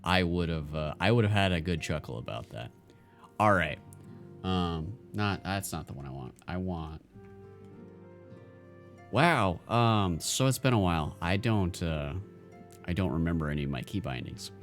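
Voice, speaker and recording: A male streamer in casual live-stream chat, answering viewers in a halting, think-aloud way. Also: deep voice, animated, faint music behind.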